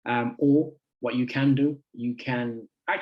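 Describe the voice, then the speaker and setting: Guest speaker, 20s male, easygoing, halting delivery, middle pitch. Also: steady tone, highs cut off. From United Kingdom. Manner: friendly, chatty, halting with many fillers. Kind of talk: casual talk to an online group.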